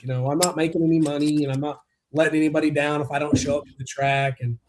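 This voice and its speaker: A man's voice: fairly high pitch, little pitch movement, fast talker, a little hiss or hum. A man in his forties from Texas, hosting a casual podcast chat in an easygoing way and laughing often. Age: 40s. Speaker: podcast host.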